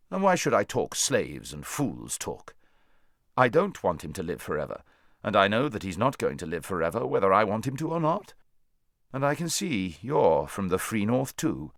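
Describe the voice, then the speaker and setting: A man's voice: lowish voice, fairly bright tone, no room sound, clean studio sound. A man voicing a proud, worldly talking war horse in a storybook, lecturing in a haughty, bossy way.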